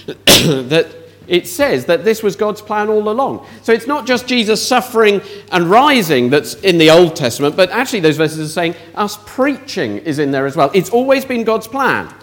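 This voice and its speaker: A man leading an interactive children's talk in church, asking the audience questions. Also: sits high.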